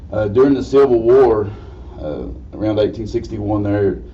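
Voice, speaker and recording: A man with a slightly raspy voice gives an informal history lecture in a folksy, halting way. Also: lowish voice. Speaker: guest lecturer. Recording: distant mic, dull muffled sound, faint noise.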